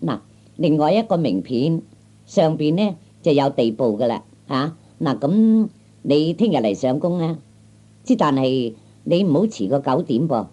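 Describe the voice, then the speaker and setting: Man playing a brisk, mellow Cantonese married lady. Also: on the high side, lively intonation. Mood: brisk, polite. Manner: coaxing, instructing, polite. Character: brisk, businesslike married lady hiring a bodyguard. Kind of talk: 1960s Cantonese radio comedy acting.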